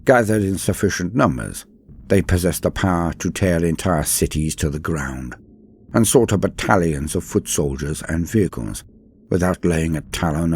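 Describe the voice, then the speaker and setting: Deep husky guy doing dramatic lore narration, very swoopy and formal, music behind. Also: darkish tone. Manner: dramatic, ornate, formal address. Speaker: host and narrator of a guide channel. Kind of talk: theatrical scripted lore narration.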